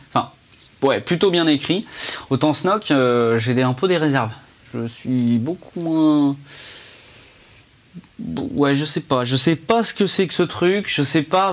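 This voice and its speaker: Young male film reviewer, fairly high voice, loose and rambling delivery, talks to camera. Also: fairly bright tone. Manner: rambling and chatty, many fillers and restarts. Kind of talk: casual spoken film review. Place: indoors under a ring light.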